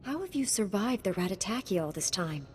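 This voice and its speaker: An actress playing a composed, principled young warrior heroine in a video game, direct and self-assured. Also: female voice, mid-range voice, sing-song, a little fast, darkish tone, lightly acted. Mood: firm. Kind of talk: video game cutscene acting. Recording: long hall reverb, music behind.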